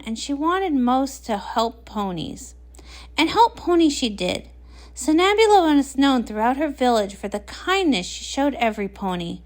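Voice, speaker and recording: A woman performing as a storybook narrator, reading a scripted pony legend in a measured storytelling manner. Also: high-pitched.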